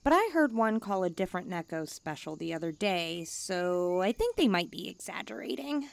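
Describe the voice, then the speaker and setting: A woman's voice: lowish voice, sing-song, normal speed, creaky, dry recording. A woman playing a haughty, needy young stray catgirl, blunt and cutesy, in an ASMR comfort roleplay. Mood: smug, coaxing.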